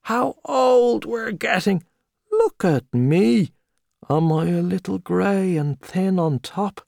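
A man doing a loud boastful old journalist. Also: high-pitched, expressive, takes it slowly, vocal fry, no room sound, clean studio sound, voice pushed up, exaggerated voice. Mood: boisterous. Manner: loud, expansive, back-slapping. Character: boastful hearty male Irish journalist, middle-aged.

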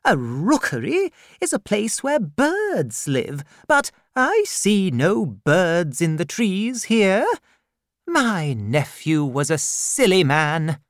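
A woman voicing a stern, brusque older aunt who gives orders in a slow, emphatic manner while reading a storybook aloud. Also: on the low side, sing-song, no echo, clean studio sound, voice pushed up. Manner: brisk, bossy, slowed and emphatic.

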